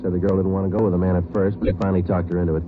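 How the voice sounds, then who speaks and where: An actor playing a terse, deadpan police sergeant who talks in clipped, matter-of-fact lines, in 1940s radio acting. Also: male voice, low-pitched, very fast, natural voice. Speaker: homicide detective sergeant and narrator. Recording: tinny hissy old radio broadcast.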